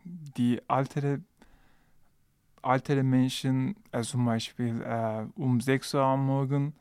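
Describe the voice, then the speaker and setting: Young man, halting speech full of fillers, slow, mellow smooth voice. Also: mid-range voice, fairly even intonation, no room sound, clean studio sound. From Turkey. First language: Turkish. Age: 20s. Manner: hesitant, many filler sounds and restarts. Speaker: guest, international student. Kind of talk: podcast interview.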